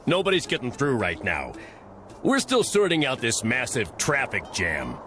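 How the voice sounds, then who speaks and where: A cartoon traffic officer, mid-pitched with a hugely swinging intonation. He is official and matter-of-fact, with music clearly behind him. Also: huge pitch swings, normal speed, bright, forceful tone. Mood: apologetic, official.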